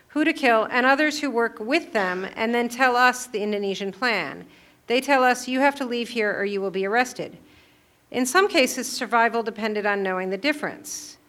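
A woman presenting her research at a panel, reading a prepared paper in a measured, formal way. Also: on the high side, sharp tone. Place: lecture room at a panel event.

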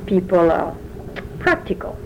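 A woman's voice: lowish voice, big pitch swings, unhurried, vocal fry, slight background noise, poor audio. Older French-accented woman, rambling talker. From France. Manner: talkative, rambling storyteller. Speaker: interviewee. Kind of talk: oral history interview.

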